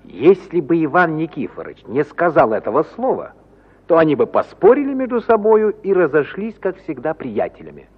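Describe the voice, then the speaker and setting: A man's voice: a little high, very animated, normal speed, slightly rough. A man narrating a literary radio play in a theatrical, dramatic storytelling manner. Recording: tinny, narrow-band 1950 radio recording.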